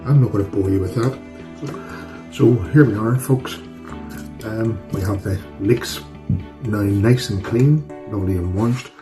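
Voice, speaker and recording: A middle-aged man with a Northern Irish accent hosts a casual cooking video from his home kitchen. His voice sits on the low side, and he talks in a chatty, self-deprecating, down-to-earth manner. Music plays clearly behind him. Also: a little slow, pauses often. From Northern Ireland. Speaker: cooking channel host.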